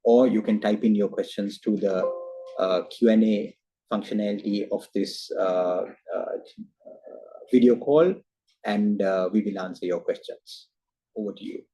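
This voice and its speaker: A man giving a formal investor presentation as a company executive on a video call, halting often with hesitant filler sounds. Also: on the low side, mellow, husky, rough recording. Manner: hesitant, halting delivery with frequent uhs.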